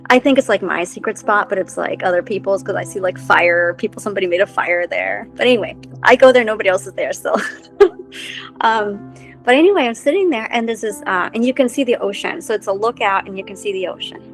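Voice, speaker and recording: A woman's voice: mid-range voice, fairly quick, gravelly, low-quality recording. Woman, from Costa Rica, reflective, meandering, casual panel talk, faint music. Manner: meandering, storytelling, gentle. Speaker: helping professional on a panel.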